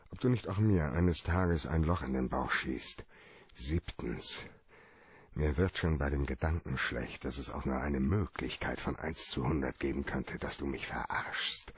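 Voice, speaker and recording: Hard-boiled cynical private eye for radio drama: very low, gravelly, blunt, argumentative. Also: male voice, dry recording, full character voice. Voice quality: low, rough-edged voice. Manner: blunt, argues point by point. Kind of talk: radio play acting.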